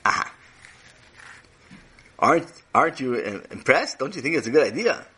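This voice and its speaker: A man's voice: mid-range voice, big pitch swings, moderate pace, pushed and bright, breathy edge, exaggerated voice. A man doing an effusive chatty teacher. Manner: effusive, rambling, talks over others. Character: chatty, eager male teacher.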